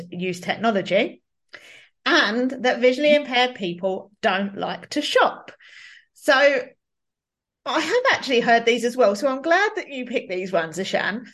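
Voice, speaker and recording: A woman hosting a podcast, asking questions in a measured, encouraging way. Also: a little high. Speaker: podcast host. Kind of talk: podcast interview.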